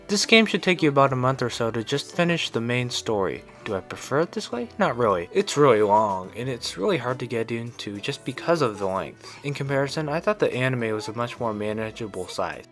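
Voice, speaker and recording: A man narrates a scripted video review in a medium-pitched voice. He speaks informally and states his opinions plainly. Music plays behind his voice. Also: fairly bright tone. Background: background music.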